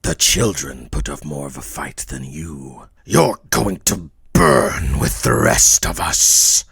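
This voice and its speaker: A man voicing a cold, arrogant undead killer in a horror game, speaking slowly and ominously in a husky, gravelly voice. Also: very deep voice, a bit bright, dry recording, studio quality, heavily acted. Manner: slow, ominous, deliberate. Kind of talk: villain voice lines for a horror game.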